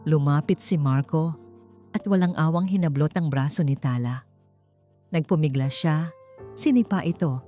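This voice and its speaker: A woman narrates scripted romantic drama in a fairly low voice. Faint music plays underneath her storytelling. The delivery is that of a dramatic story narrator. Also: normal speed, pauses often, warm tone. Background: background music.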